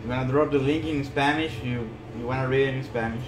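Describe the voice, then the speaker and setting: A man's voice: mid-range voice, fairly even intonation, quick pace, background noise. A young man co-hosting a casual livestream chat as a manga creator. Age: young adult. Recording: echoey room, faint music. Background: faint background music.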